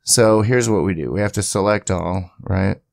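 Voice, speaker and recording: A man giving a casual screen-capture software tutorial, thinking aloud informally as he goes. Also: low voice, normal speed, gravelly, no echo, clean studio sound. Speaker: tutorial host.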